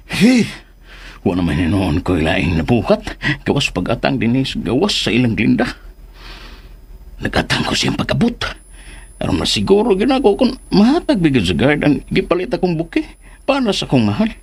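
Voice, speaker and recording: An actor with a Filipino accent playing a comic, anxious, lovestruck suitor talking to himself with exaggerated delivery in a radio drama. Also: male voice, medium pitch, huge pitch swings, normal speed, long pauses, husky, full character voice. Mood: eager, scheming.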